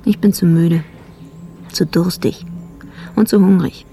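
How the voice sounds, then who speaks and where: A weary, obsessive detective muses in a radio drama. He speaks slowly in short statements, with a mellow, creaky voice. Faint noise and birdsong sit behind him. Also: sits high, steady tone. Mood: exhausted, craving. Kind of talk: radio drama interior monologue.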